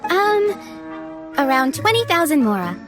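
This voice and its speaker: A woman's voice: high voice, very animated, takes it slowly, music in the background, heavily acted. A woman playing a helpful, polite restaurant server in a video game, presenting things in an obliging manner. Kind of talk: scripted video game acting.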